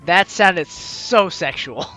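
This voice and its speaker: A woman's voice: lowish voice, animated, very slow, bright, forceful tone, poor audio. A woman doing a playful, slangy let's play, reading dialogue aloud and commenting casually. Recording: faint music behind the voice.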